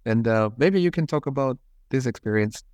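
A man's voice: mid-range voice, animated, normal speed, darkish tone, no room sound. A man hosting a podcast, asking long, hesitant questions with many repeated words and fillers. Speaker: podcast host. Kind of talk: interview questions.

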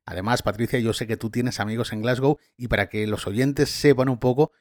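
A man hosting a podcast conversation, relaxed and chatty, often adding tag questions. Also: medium pitch, fairly quick, no room sound, studio quality. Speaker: podcast host and tour guide. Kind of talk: casual conversation.

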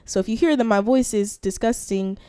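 A woman's voice: medium pitch, fairly quick, warm tone, smooth, dry recording. A young woman co-hosting a casual podcast chat, talking through a head cold and laughing often. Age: young adult. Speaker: podcast co-host.

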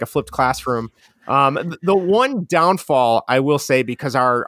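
A man with a strong Chicago accent, talking as a guest in a relaxed, rambling way with many restarts. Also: on the high side, no room sound, clean studio sound. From Chicago area. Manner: mellow, rambling with frequent restarts. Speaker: guest, educator and podcaster. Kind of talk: casual conversational interview.

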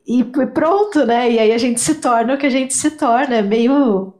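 A woman's voice: high voice, normal speed, darkish tone. A woman co-hosting a book podcast, talking casually and reflectively as she draws out the guest. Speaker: podcast co-host.

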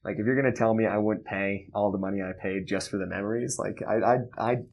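Low-voiced young man, casual podcast chat. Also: quick pace, gravelly, slight background noise. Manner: animated, laughing, swears freely. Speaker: podcast host.

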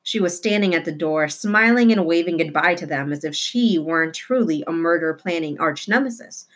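A woman with a somewhat low voice reads a children's novel aloud. Her delivery is expressive storybook narration. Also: normal speed.

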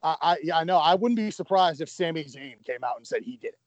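A man's voice: a little high, lively intonation, fast, dry recording. A man co-hosting a podcast in casual chat, enthusiastic, often stammering and restarting his sentences. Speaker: podcast co-host.

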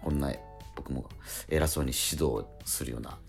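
A man hosting a radio show, chatting casually and explaining things in a polite, halting way. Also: very deep voice, vocal fry, soft background music. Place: radio studio.

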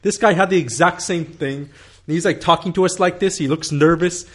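A man's voice: a little high, a little fast, fairly bright tone. A man in his thirties telling a long story in casual conversation, rambling with many fillers, swearing and laughing.

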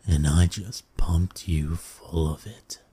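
A man plays a seductive male incubus in an intimate ASMR roleplay. His voice is very low and dark, and he takes his time, purring slowly. He is smug and dominant, yet coaxing and soothing. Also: exaggerated voice. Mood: seductive, boastful. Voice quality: low, breathy, husky. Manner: slow, teasing, purring, coaxing with pet names.

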